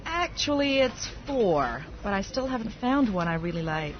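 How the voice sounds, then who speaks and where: A woman acting a lively chatty woman. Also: mid-range voice, normal speed, slight background noise, close to an everyday voice. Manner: conversational, expressive. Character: woman talking over her dating life. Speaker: dialogue actor. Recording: dull lo-fi audio.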